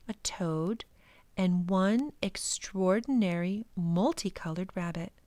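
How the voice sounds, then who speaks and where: Female storytime narrator, medium pitch, unhurried delivery, dry close sound, reading a children's book. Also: dry recording. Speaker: library storytime reader. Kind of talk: scripted storybook narration, read aloud.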